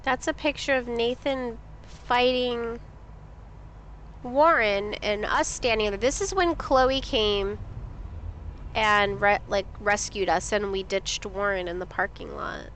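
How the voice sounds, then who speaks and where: A woman's voice: mid-range voice, slow, fairly bright tone, slight background noise. Sniffly chatty woman streamer. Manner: chatty, reads things aloud, asks chat questions. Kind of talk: casual live-stream commentary.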